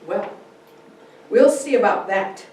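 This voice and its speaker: A woman's voice: mid-range voice, lively intonation, slow pace, a little mellow. A woman playing a fearless, commanding frontier woman in a dramatic story reading. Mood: defiant. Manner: firm, commanding. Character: fearless, defiant, calm-headed frontier woman Kitty. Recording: roomy echo, distant mic, faint noise.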